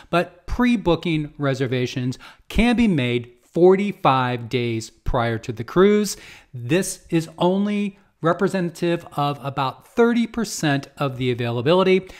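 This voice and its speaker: An American man in his fifties speaks in a slightly high voice, close to a desktop microphone in a small home studio. He delivers a guide in the manner of a travel vlogger, laying out each point in measured steps and doubling words for emphasis. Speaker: cruise travel vlogger and agent. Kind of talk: explanatory talk to camera, semi-scripted guide. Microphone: close desktop condenser microphone.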